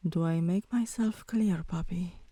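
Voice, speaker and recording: A woman's voice: fairly low pitch, measured pace, dark tone, slightly rough, dry recording. A woman playing a dominant, teasing, affectionate tomboy girlfriend in an intimate ASMR roleplay, commanding yet coaxing and sometimes dropping to a whisper.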